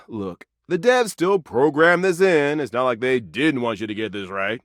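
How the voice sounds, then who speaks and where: A man voices a jaded video game character who needles the player. His delivery is cynical but animated, recorded dry and close. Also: mid-range voice, a little slow, no echo, close to an everyday voice.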